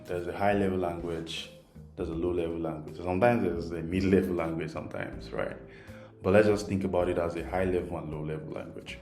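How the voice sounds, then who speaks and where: A man's voice: low voice, a little mellow. A man with a Nigerian accent, a native Yoruba speaker, gives an informal, patient programming tutorial, keeping things simple and often checking in with "right?". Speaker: programming instructor. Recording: roomy echo, faint music underneath.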